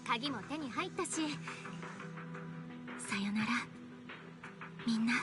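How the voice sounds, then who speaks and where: A woman's voice: on the high side, animated, moderate pace, natural voice. A woman voicing a light, breezy young heroine in a video game. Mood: calm, breezy. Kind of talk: Japanese game voice acting. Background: game music.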